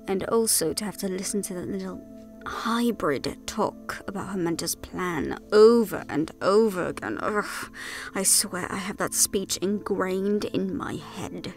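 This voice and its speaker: A woman's voice: mid-range voice, vocal fry. A woman playing a proud, frustrated villainous fae in an audio roleplay drama, speaking in a dramatic, exasperated way. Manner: exasperated, dramatic, sharp-tongued.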